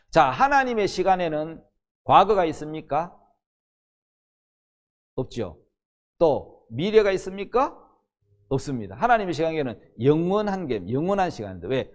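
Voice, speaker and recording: Older male preacher with a very animated delivery. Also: fairly high pitch. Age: 60s. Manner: emphatic teacher, often asks and answers own questions. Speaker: pastor. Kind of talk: Bible teaching sermon.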